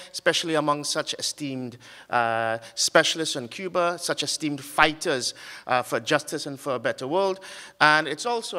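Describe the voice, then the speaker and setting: A man giving an academic conference talk with slides, earnest and halting, often pausing on fillers and restarting his sentences. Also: fairly high pitch, pushed and bright, big-room sound. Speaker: conference speaker. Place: conference hall.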